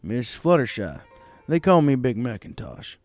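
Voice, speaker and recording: A man voicing a solid, calm male farm horse, polite, laid-back and plainspoken, in a story reading. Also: mid-range voice, measured pace, faint music behind.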